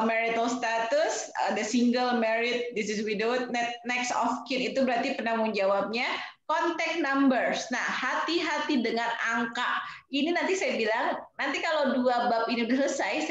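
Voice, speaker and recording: A woman's voice: fairly high pitch, fairly bright tone. A woman from Indonesia in her thirties, teaching English vocabulary to nursing students in a chatty way, with English terms mixed into her explanations and quiz questions to the class. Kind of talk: online class lecture mixing two languages. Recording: very echoey, dull lo-fi sound.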